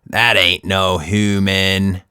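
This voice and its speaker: A man's voice: low voice, level tone, takes it slowly, bright, forceful tone, clean tone, no echo, studio quality, exaggerated voice. A man playing a suspicious, uncouth giant mouse in a children's story, talking in a slangy, dismissive way.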